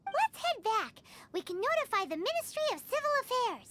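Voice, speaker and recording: A woman playing a tiny, bossy, childlike fairy companion in a video game, squeaky and excitable. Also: moderate pace, noisy background. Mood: scornful. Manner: squeaky, childlike, refers to herself in third person. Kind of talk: English video game voice acting. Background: game music.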